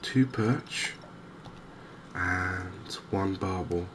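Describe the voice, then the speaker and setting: A soft-spoken man giving hesitant, measured ASMR-style commentary as host of a gaming video. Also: low voice. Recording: clear steady background noise.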